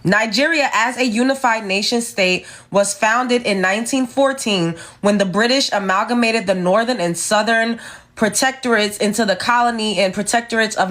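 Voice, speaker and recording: A woman's voice: a little high, a bit bright. A woman from the United States delivering a sassy, emphatic opinion rant to her viewers, lecturing as she reads and reacts. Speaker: commentator reacting to clips.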